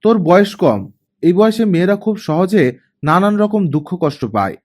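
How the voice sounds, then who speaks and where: Man voicing a stern, guarded young elder sister, high-pitched. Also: very animated, brisk, darkish tone. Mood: stern, reproachful. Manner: curt, admonishing.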